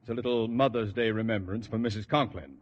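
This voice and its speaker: A man's voice: fairly low pitch, quick pace, lo-fi sound, natural voice. A man playing a pompous, blustering middle-aged school principal in a 1950s radio sitcom, firing off haughty insults.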